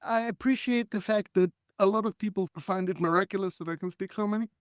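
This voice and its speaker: High-voiced, smooth man in his forties. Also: quick pace, no room sound, clean studio sound. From Israel. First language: Hebrew. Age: 40s. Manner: earnest, reflective, clears throat often. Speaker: guest. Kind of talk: conversational life storytelling.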